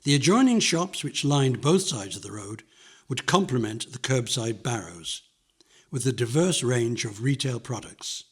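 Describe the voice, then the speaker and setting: An older man reads a nostalgic memoir as scripted narration, in measured, descriptive phrases. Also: mid-range voice, animated, husky. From London, England.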